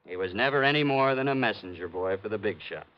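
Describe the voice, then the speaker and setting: Actor as gruff, animated police sergeant. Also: male voice, lowish voice, fast talker, a little hiss or hum, lightly acted. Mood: dismissive, explaining. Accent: American. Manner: brash, slangy, impatient, talks over the other man. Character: gruff, dismissive, know-it-all male police sergeant. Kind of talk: 1950s American radio drama acting. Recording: dull vintage radio recording.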